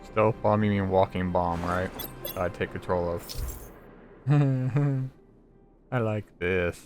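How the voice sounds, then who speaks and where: Blunt, lowish-voiced male gamer. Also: slow pace, darkish tone. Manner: blunt, sweary, muttered asides. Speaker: gamer, video creator. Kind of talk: casual gameplay commentary. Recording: game music behind the voice.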